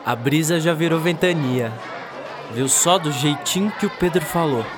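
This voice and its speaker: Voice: medium pitch, moderate pace, crowd noise, lightly acted. An actor playing a scatterbrained, easygoing, teasing schoolboy in a radio drama. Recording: music and busy street ambience behind.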